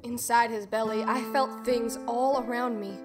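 Actress as young fairy-tale heroine, high voice, bitter and traumatised, intense deliberate audio drama monologue, music underneath. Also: female voice, fairly even intonation, natural voice. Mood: haunted, regretful. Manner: intense, deliberate, emotional storytelling.

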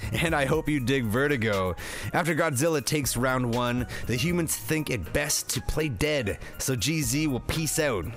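A man's voice: medium pitch, expressive, unhurried, a bit bright, soft background music. A man hosting a comedy movie review, recapping in a slangy, sarcastic, profane style with jokey banter.

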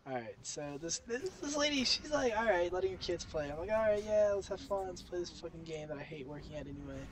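A teenage man with a cold and a highish voice co-hosts a gaming video. He tells stories in a casual, blunt way and curses freely. There is clear noise on the recording. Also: expressive, fast talker. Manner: blunt, heavy swearing. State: sick with a cold. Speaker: gaming channel co-host. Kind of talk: casual profane chat and storytelling over gameplay. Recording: clearly noisy home game recording.

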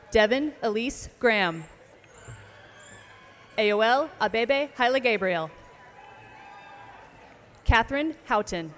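A woman reading out graduate names at an outdoor commencement, slow and measured, over a PA system. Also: fairly high pitch, a little hiss or hum. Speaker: name reader at commencement. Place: outdoor ceremony stage. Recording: long reverb of outdoor PA.

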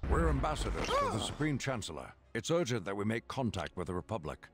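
A man playing a calm, dutiful middle-aged warrior-monk ambassador, formal and authoritative, in a video game. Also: on the low side, quick pace, loud music behind the voice. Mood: composed. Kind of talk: animated video game cutscene acting. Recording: loud game effects behind.

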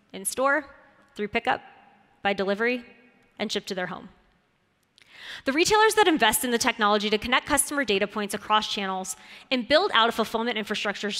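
A woman's voice: on the high side, bright, forceful tone. A woman in her thirties giving a polished, scripted keynote speech on a conference stage, stressing key points with lifts in her voice. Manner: clear, polished delivery with rising emphasis on key points. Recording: reverberant conference hall.

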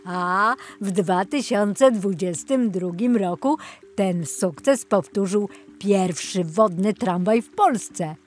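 A woman's voice: mid-range voice, slow pace, darkish tone, slight background noise. A woman reading a children's audiobook story as a scripted narrator. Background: music.

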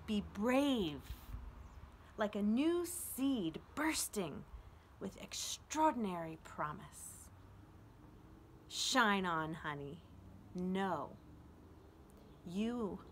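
A middle-aged woman reading poetry aloud expressively with deliberate pauses and casual asides. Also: medium pitch, animated. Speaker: children's librarian reading to an online audience. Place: outdoors on a house front porch. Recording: outdoor traffic noise, street ambience. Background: street traffic.

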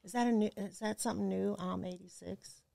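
A woman's voice: mid-range voice, fairly even intonation, brisk, gravelly, dark tone, no echo, studio quality. A woman casually chatting and reminiscing as a podcast guest, playful and wry.

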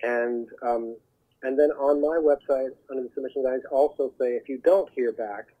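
A man speaking as a talent agent guest, giving advice in an earnest, halting, conversational way over the telephone. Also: medium pitch, fairly even intonation. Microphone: telephone call. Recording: muffled narrow phone line.

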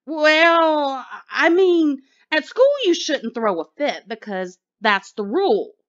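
Woman voicing a pouty, indignant squirrel boy, high-pitched. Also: expressive, slow, muffled recording. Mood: flustered. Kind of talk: picture book read-aloud.

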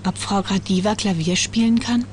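A woman's voice: mid-range voice, moderate pace, a little hiss or hum, lightly acted. An actress playing a curious, caring teenage girl detective in a cartoon adventure game, earnest and inquisitive.

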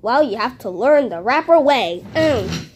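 High-voiced woman playing pretend. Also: lively intonation, a little slow, a little hiss or hum. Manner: chatty back-and-forth between toys. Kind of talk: playing with paper cutouts. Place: indoors at a home table. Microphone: handheld phone camera close to table.

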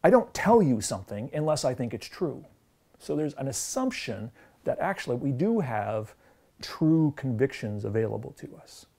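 A man's voice: medium pitch, mellow. A Canadian man in his forties giving a thoughtful explanatory lecture to camera on a lapel mic, with fillers and restarts. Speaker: theology lecturer. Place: studio with green-screen backdrop.

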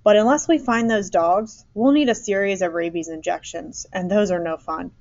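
A woman's voice: medium pitch, moderate pace, darkish tone, no room sound, highs cut off, close to an everyday voice. A woman storyteller voicing a worried, exasperated woman doctor, flustered but professional, in a storybook. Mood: concerned.

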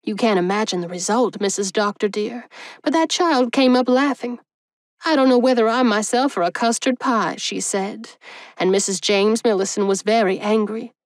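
A woman's voice: mid-range voice, moderate pace, no echo, natural voice. A woman voicing a solemn, chatty middle-aged housekeeper who tells stories at length in a storybook reading. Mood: solemn prattle. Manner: solemn, prattling, keeps saying dear.

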